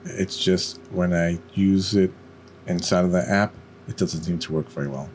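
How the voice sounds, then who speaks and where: Male tutorial narrator, deep register, halting step-by-step instruction, noisy home recording. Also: darkish tone. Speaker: tutorial host.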